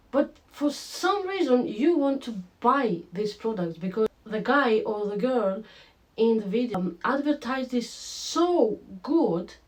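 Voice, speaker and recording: Vlogger, woman, romanian-greek accent with a bit of british, chatty, talks right to viewers, slightly high voice. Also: poor audio. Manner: chatty and animated, addresses viewers directly. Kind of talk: casual talking-to-camera vlog. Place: home room with a lamp.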